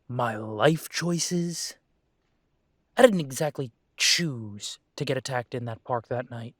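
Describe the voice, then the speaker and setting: A man voicing a young adult male werewolf, an estranged son who is defensive and firm, then quiet and conciliatory. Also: medium pitch, slow, no echo, clean studio sound. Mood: defiant. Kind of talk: audio drama acting.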